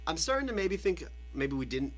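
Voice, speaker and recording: A man's voice: fairly high pitch, sing-song, brisk. A young man hosting a casual radio show, bantering in a jokey, rambling way. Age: young adult. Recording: faint mains hum.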